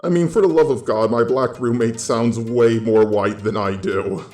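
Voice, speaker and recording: A man's voice: mid-range voice, moderate pace, a little mellow, echoey room, faint music behind. An American man giving a blunt, casual, sweary opinion monologue as a video commentator.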